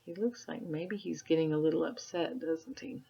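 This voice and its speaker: Lady reading a kids book, lowish husky voice, asks little questions, slightly muffled audio. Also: normal speed. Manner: asks gentle tag questions. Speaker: read-aloud teacher. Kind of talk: storybook narration with casual commentary for children. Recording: dull, lo-fi sound.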